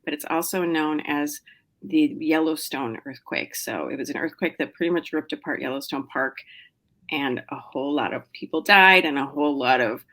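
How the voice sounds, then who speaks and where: Low-voiced woman, chatty author guest. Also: moderate pace, fairly bright tone. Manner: chatty, lots of fillers and restarts, laughs often. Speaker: novelist guest. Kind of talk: casual interview chat.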